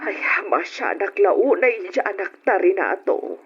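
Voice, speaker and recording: An actress in an Ilocano radio drama playing a weary, grieving older wife with a subdued, sorrowful delivery. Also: female voice, mid-range voice, big pitch swings, normal speed, over music, close to an everyday voice. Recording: dull, muffled radio drama recording.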